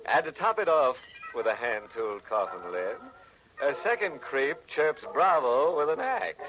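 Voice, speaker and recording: Ghoulishly droll radio host, swooping intonation, sardonic, mock-polite, old 1940s recording, faint noise. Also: male voice, medium pitch, big pitch swings, measured pace, a bit bright, low-quality recording. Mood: droll, macabre. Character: sardonic, gleefully macabre mystery show host. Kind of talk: 1940s radio horror hosting.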